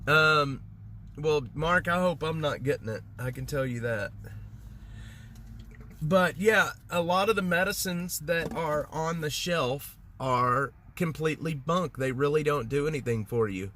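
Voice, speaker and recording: A man's voice: fairly high pitch, measured pace, sharp tone, slight background noise. A man, congested, chatting casually on a livestream in a rambling way with lots of fillers and restarts. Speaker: livestream host. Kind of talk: casual livestream chat with viewers.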